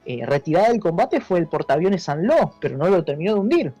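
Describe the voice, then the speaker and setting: A man's voice: high-pitched, sing-song, dark tone, no echo. A man with a Buenos Aires accent explaining things as an interview guest in casual talk, often repeating words and adding question tags. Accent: Argentine Spanish, Buenos Aires. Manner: halting, repeats words, seeks agreement with tags. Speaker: interview guest, history teacher. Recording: dull lo-fi, dry, music behind.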